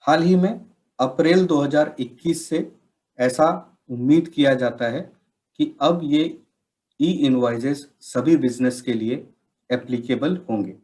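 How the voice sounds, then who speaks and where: A man with a medium-pitched voice presents a scripted training session. He speaks slowly and explains in measured phrases, setting out each point in turn. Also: darkish tone, poor audio. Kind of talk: scripted instructional presentation with slides.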